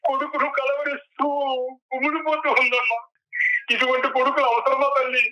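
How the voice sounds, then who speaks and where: A man's voice: very high voice, steady tone, normal speed, pushed and bright, soft background music. An older man lamenting in anguish as a caller over a phone line. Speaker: caller, father. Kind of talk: emotional phone plea.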